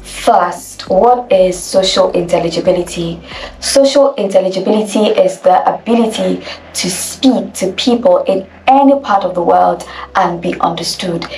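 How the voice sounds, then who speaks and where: A Nigerian woman coaching on elocution, with a gravelly edge to her voice. She speaks deliberately and emphatically, often repeating her points. Her voice carries a roomy echo, picked up from a little way off the mic. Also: mid-range voice, a little mellow, distant mic, a little hiss or hum. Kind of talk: instructional video talk.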